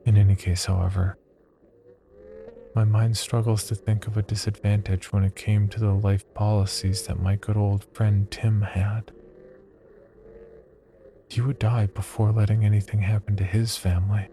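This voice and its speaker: A man acting as a cold, detached psychology researcher, reading a sinister diary in a measured, clinical horror-story narration. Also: fairly low pitch, level tone, slight background noise, natural voice. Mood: detached, musing. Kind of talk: horror audio fiction, first-person diary narration. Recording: loud music bed under voice. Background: loud music.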